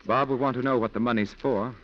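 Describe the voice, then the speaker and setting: A man's voice: mid-range voice, steady tone, quick pace, lightly acted. An actor in a 1940s radio drama playing a principled, stern defense lawyer who is torn by his duty. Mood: resigned, grave. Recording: muffled 1940s radio broadcast recording.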